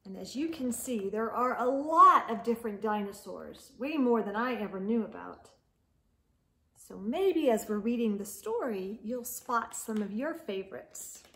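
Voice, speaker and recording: A woman in her forties reads aloud for young children. Her voice sits high and moves at an unhurried pace. She leans on words with animated, drawn-out emphasis, as a picture-book storyteller does. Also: rough recording. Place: indoors, small room lined with bookshelves.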